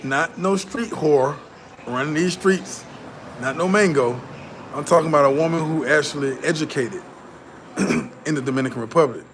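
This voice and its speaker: Blunt, animated man talking straight. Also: a little high, moderate pace, a little hiss or hum. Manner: blunt, profane, repetitive fillers. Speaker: vlogger. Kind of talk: casual commentary to camera.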